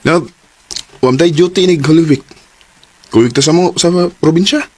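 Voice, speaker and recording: A man's voice: fairly high pitch, normal speed, close to an everyday voice. A man playing an easygoing, sweet-talking young boyfriend in a radio drama. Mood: affectionate.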